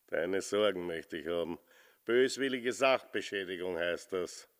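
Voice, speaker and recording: An actor playing an amused, officious village police sergeant in a radio drama. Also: male voice, low-pitched, animated, takes it slowly.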